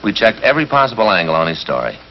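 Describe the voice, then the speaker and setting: An American actor playing a sceptical, impatient police detective in a 1950s TV drama, with a blunt, challenging manner. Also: male voice, on the low side, big pitch swings, very fast. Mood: exasperated. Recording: muffled, slightly noisy 1950s TV soundtrack.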